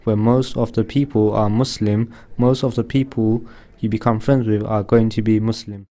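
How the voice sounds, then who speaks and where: Low, mellow young man. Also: level tone, normal speed. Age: young adult. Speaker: interviewee. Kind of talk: interview answer.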